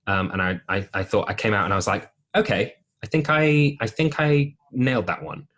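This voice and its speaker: Deep-voiced young man, husky and low. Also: moderate pace. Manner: relaxed storytelling with many ums and restarts. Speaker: podcast guest, musical theatre performer. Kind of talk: casual interview chat.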